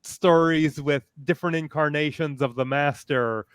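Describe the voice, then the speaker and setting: A man speaking as a panelist in a casual online discussion, with hesitant, measured phrasing. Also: a little high, fairly even intonation, smooth, dry recording, studio quality. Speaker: podcaster and panelist. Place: online video call.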